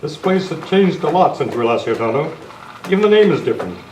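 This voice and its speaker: A man playing a calm, commanding, courteous masked western hero in an amateur radio play. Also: a little high, fast talker, a little hiss or hum. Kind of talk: amateur old-time radio western re-enactment. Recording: echoey meeting room, distant mic.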